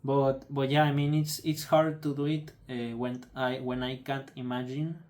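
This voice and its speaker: A young man whose first language is Spanish, speaking in a halting, subdued way with many restarts as he searches for words. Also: mid-range voice, level tone, darkish tone. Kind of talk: personal video update.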